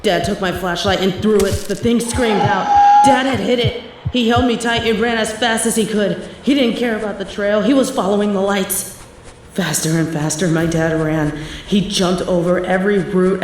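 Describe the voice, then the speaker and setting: A young adult woman performing a scripted horror narration, telling the story in a hushed, tense manner. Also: mid-range voice, noisy background. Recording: very echoey room.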